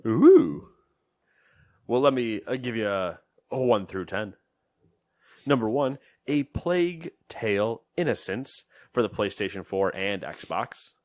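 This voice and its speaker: A man's voice: fairly low pitch, expressive, slow pace, a little mellow, no room sound, clean studio sound. A man co-hosting a gaming podcast in casual chat, rambling and joking, often trailing off into mumbles. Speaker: podcast co-host.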